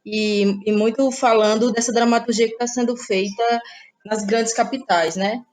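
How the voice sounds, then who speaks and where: A woman's voice: on the high side, steady tone, normal speed, darkish tone, soft background music. A woman in her thirties with a Cearense Brazilian accent, a playwright and actress giving thoughtful, hesitant interview answers. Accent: Brazilian Portuguese, Cearense. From Ceará, Brazil. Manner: thoughtful, hesitant, many fillers like "né".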